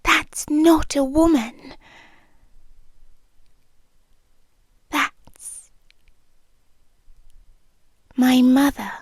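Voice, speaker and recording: An ancient, possessive spider-woman girlfriend, played by a woman, speaks in a high British voice that keeps slipping into breathy whispers. She is very slow and deliberate, doting yet quietly menacing. The ASMR roleplay is recorded close and dry, intimate in the ear. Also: dry recording, full character voice. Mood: alarmed, hushed. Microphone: close dry microphone.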